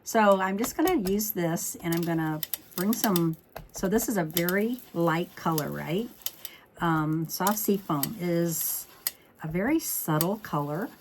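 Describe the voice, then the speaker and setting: A woman's voice: fairly low pitch, measured pace, a little hiss or hum. A woman with a slightly froggy voice hosting a craft tutorial, chatting informally and thinking aloud as she works. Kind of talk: chatty card-making demonstration.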